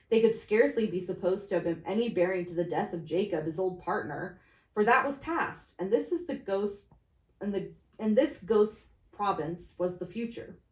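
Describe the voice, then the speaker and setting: A woman reading classic fiction aloud as an amateur storyteller, stumbling now and then over her words. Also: medium pitch. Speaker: storybook reader. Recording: echoey, roomy sound.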